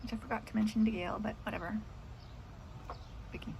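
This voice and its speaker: A woman's voice: medium pitch, fairly even intonation, fairly quick, gravelly, darkish tone, a little hiss or hum. A middle-aged woman in casual, rambling chat about her day, drifting off into asides. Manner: meandering, trailing off into asides. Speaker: vlogger.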